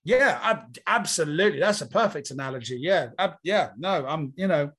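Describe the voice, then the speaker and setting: A man's voice: on the high side, lively intonation, normal speed, a bit bright, husky. A man, a comedian being interviewed, talking in a reflective, rambling storytelling way. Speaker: comedian guest. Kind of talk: casual interview chat.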